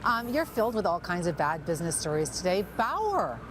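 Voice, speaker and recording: Woman, middle-aged, Canadian accent, fast pace, informal news anchor, clear background noise. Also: on the low side, big pitch swings. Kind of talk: informal news interview chat. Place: newsroom studio.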